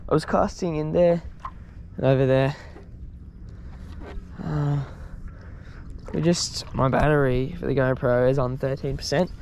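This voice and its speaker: A young man with an Australian accent giving casual, excitable fishing commentary in colloquial language. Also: mid-range voice, unhurried, pauses often, a little hiss or hum. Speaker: fishing vlogger. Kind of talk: casual live vlog commentary. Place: outdoors on paddle board on river. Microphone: body-mounted action camera.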